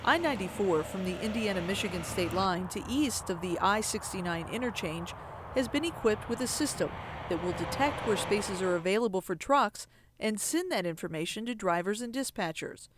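A woman reading a scripted voice-over for a public information film in a measured, informative way. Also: mid-range voice, animated, background noise. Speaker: narrator.

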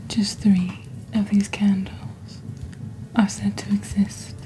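Slow, soft, breathy witch played by a woman. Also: mid-range voice, flat delivery, natural voice, low-quality recording. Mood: calm, mysterious. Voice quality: soft, breathy, half-whispered. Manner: slow, deliberate, hushed, theatrical presenting. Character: witch presenting magical wares, calm and mysterious. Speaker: host of a fantasy shopping channel. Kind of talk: soft-spoken ASMR, scripted narration. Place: small dim indoor set. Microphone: close fluffy-covered studio microphone.

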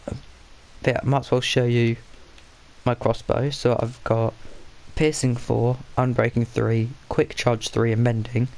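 A man's voice: medium pitch, flat delivery, unhurried, vocal fry, a little mellow. A man with a blocked, stuffy nose giving casual, rambling commentary while he plays a game, often correcting himself and muttering to himself. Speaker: gaming channel host.

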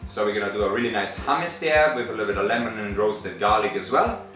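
A man's voice: lowish voice, expressive, fast, fairly bright tone. A man in his thirties from Austria, co-hosting a cooking show as the chef and cheerfully explaining each step while he cooks. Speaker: chef and co-host. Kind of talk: casual cooking show presenting. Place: large home kitchen, indoors. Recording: roomy, distant sound with light music.

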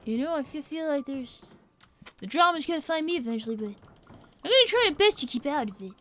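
A woman's voice: high voice, big pitch swings, quick pace, fairly bright tone. A woman voicing a young, brainy cartoon fox boy who chats in a sassy, self-assured way as a gaming livestream host. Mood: chatty, wry. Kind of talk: in-character gaming livestream chat. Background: game music.

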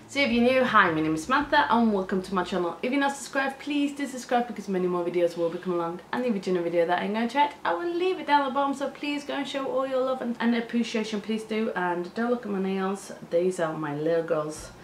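A woman's voice: mid-range voice, quick pace, a little hiss or hum. Chatty woman in a roomy room. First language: Spanish. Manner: chatty, stumbles over hard words, gestures a lot. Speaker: reaction channel host. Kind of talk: casual reaction chat. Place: living room with sofa and plants, indoors.

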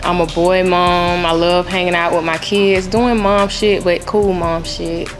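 A woman's voice: on the low side, flat delivery, a little slow, over music. A woman in her late twenties from Florida, talking bluntly and unhurriedly in a confessional. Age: 20s. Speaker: reality show cast member.

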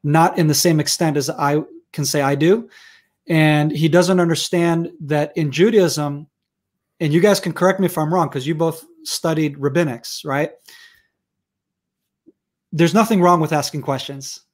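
A fairly high-voiced man in his thirties, from Israel and a native Hebrew speaker, talking with an American accent. He argues heatedly over a video call from home through wired earphones, stumbling into restarts as he pushes his case. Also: steady tone, unhurried. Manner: passionate, argumentative, many restarts. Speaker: guest researcher. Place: room at home.

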